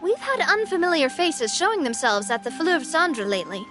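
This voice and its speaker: A high, lively female voice with faint music behind, a confident young gang leader who sounds self-assured. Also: normal speed. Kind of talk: video game voice acting. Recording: game audio with music behind.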